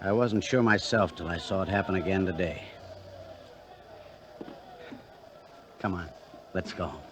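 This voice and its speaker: An actor playing a cocky baseball catcher full of slangy, chanting chatter in an old-time radio drama. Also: male voice, deep voice, a little fast, lightly acted. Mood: subdued, tender. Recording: 1940s-50s radio recording, noisy crowd.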